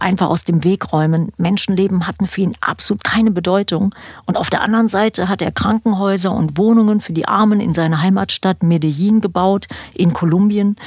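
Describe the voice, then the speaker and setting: A woman with a husky voice, narrating a scripted podcast introduction to a true-crime audio drama. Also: medium pitch, a little mellow, no room sound, clean studio sound. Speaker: podcast host.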